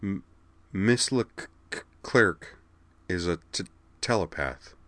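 A man plays an angry young woman student in a story reading. She stammers hard on her consonants, halting and clipped, stubborn and tight, in a dry recording. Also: deep voice, animated, a bit bright, no room sound, full character voice. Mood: tense, indignant.

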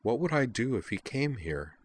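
A man voicing an anxious, sorrowful young woman who confides in a soft, hesitant murmur, as part of a story reading. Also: mid-range voice, very animated, moderate pace, voice pushed up. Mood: fearful, sad.

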